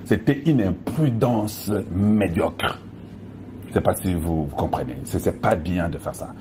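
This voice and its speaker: A man's voice: sits low, unhurried, darkish tone, slight background noise. A man from Congo with a Congolese French accent, giving an impassioned political monologue to viewers, emphatic and full of pointed rhetorical questions. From Democratic Republic of the Congo. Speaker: political commentator.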